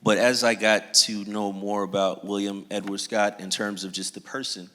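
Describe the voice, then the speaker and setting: A man's voice: fairly low pitch, level tone, moderate pace, a bit bright, long reverb tail. A man speaking on a community panel, reflective and hesitant, often restarting his sentences. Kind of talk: panel discussion.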